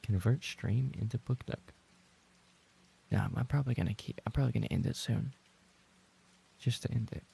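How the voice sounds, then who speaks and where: A man chatting casually as a livestreamer, rambling and trading playful banter with his viewers, in a gravelly, husky voice. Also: mid-range voice, moderate pace, long pauses, mellow. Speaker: livestreamer and ASMR voice actor reading chat.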